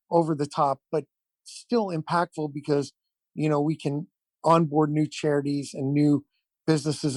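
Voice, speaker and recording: A man's voice: a little high, dark tone, no echo. A man giving earnest, enthusiastic interview answers as an event founder, effusive and given to repeating his points. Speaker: event founder, podcast guest.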